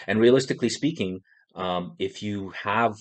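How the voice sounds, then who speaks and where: A man's voice: fairly low pitch, moderate pace. A man hosting a podcast who talks in a casual, rambling way, often restarting and asking "right?". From Poland. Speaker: podcast host. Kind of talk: casual discussion.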